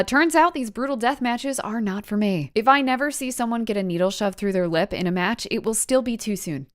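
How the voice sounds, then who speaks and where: A woman in her thirties with a clean, smooth voice at an average pitch. She speaks as a video host, casually addressing viewers in a lightly scripted way, with a wry and playful, self-deprecating manner. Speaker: YouTube host reviewing wrestling matches.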